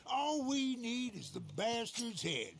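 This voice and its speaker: An actor playing a gruff, laconic frontier gunman in a video game cutscene, with a gravelly voice. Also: extremely high pitch, huge pitch swings, slow, noisy background, heavily acted. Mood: impatient.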